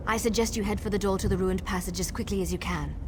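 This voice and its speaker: A woman's voice: medium pitch, steady tone, fast talker, background noise, close to an everyday voice. A woman playing an earnest, hopeful young elf in a video game, speaking eagerly and expressively. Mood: resolute.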